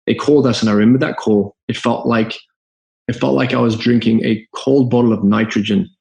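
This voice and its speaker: A man with a Zimbabwean accent speaks in a gravelly, fry-edged voice at a middling pitch. He tells his story in an earnest, reflective and open way, as a guest on a podcast. The sound is roomy, with a clear echo around him. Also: fairly even intonation, brisk, a little mellow. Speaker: guest entrepreneur.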